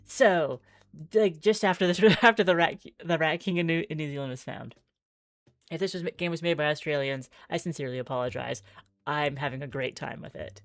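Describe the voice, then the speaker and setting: A woman's voice: deep voice, steady tone, fairly quick, dry recording. A woman streaming a visual novel, reading the game text aloud with theatrical flair and chatty asides.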